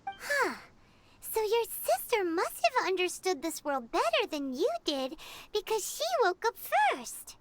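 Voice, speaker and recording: A woman voicing a small, childlike fairy guide in a video game, squeaky, chatty and inquisitive. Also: very animated, a little slow, a little hiss or hum, exaggerated voice. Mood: curious, thoughtful. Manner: refers to herself by name, exclamatory, inquisitive.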